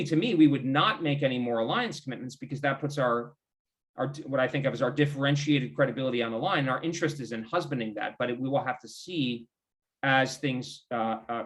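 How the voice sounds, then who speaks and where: A man with an American accent discussing policy informally as an interview guest, in a discursive, halting way, often qualifying and rephrasing himself. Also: medium pitch.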